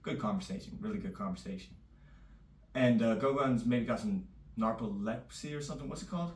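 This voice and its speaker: A man chatting casually in a loose, rambling vlog, his voice a little raspy. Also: mid-range voice, a little fast. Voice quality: slightly raspy. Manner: loose and rambling, full of fillers. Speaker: vlogger. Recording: echoey room, distant mic.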